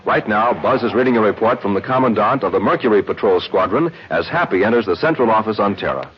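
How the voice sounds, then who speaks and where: An American man giving formal, measured scripted narration in the style of a 1950s radio drama. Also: on the low side, animated. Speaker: narrator and announcer. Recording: very noisy, dull old radio recording.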